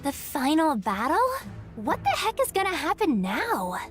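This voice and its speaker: A woman playing an excitable, skeptical young adventurer in a game, talking in casual exclamations. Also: high-pitched, unhurried, faint music behind. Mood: incredulous.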